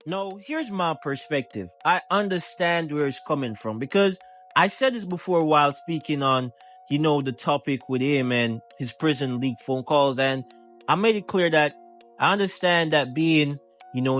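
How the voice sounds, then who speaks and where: A man's voice: on the high side, a little slow, fairly bright tone. A man giving casual, emphatic commentary, repeating his points and addressing the listener directly as a channel host. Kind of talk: casual reaction commentary to camera or mic. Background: music.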